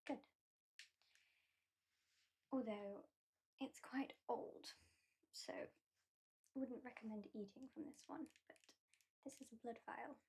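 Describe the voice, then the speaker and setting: A British woman voicing a haughty, mocking female vampire in an ASMR roleplay, soft-spoken and often whispering. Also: on the high side, takes it slowly, creaky, long pauses, breathy edge, full character voice. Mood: languid. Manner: soft-spoken, drifting into whispers, dryly sardonic. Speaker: ASMR performer.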